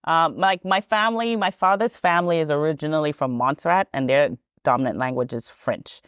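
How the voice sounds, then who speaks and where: A woman's voice: low voice, a little fast, smooth, no room sound, clean studio sound. A woman, a podcast guest telling her story in a chatty, rambling way with many ums and self-corrections. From Trinidad and Tobago. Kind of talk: casual storytelling interview.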